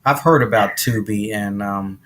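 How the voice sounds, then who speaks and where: Male podcast host, lowish voice, faint hiss behind, easy and encouraging. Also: normal speed. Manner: relaxed, encouraging, lots of affirming mm-hmms. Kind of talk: podcast interview.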